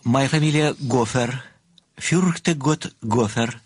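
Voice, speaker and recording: A man's voice: mid-range voice, slow, husky, no echo. A man acting in an audio play as a small, frail, obsequious old admirer, formal and politely insistent. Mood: deferential, eager.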